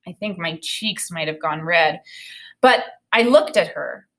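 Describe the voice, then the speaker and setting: A woman in her thirties, an elementary teacher, reading a children's novel aloud and explaining it in an expressive way. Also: on the low side, a little slow. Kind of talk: read-aloud of a children's novel with think-aloud commentary. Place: small indoor room with bookshelf and whiteboard.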